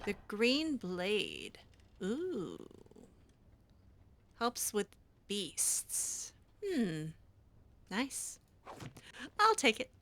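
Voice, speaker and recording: A woman's voice: medium pitch, wildly swooping pitch, very slow, pauses often, fairly bright tone, slight background noise. A woman playing through a role-play video game in character, musing aloud to herself in a hesitant way. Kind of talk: in-character game playthrough commentary.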